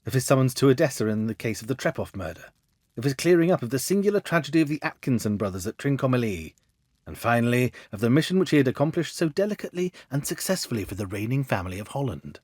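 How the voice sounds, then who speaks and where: Male audiobook narrator: measured, literary, mid-pitched with animated melody, very dry sound. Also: no room sound. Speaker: storyteller.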